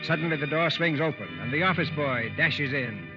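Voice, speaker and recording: A man narrating a radio play in old-time scripted style, a wry, lyrical storyteller with a measured, theatrical delivery. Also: on the high side, close to an everyday voice. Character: wry, lyrical male storyteller setting the scene. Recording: muffled vintage radio recording. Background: orchestral music with strings.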